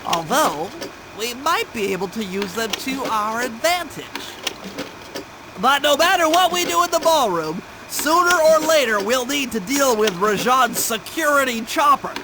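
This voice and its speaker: Very high-pitched nerdy cartoon turtle. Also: male voice, animated, measured pace, noisy background, full character voice. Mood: analytical. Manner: precise, wordy, earnest. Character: nerdy, cautious male turtle mastermind, planning heists over radio. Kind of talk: cartoon game voice acting, mission briefing.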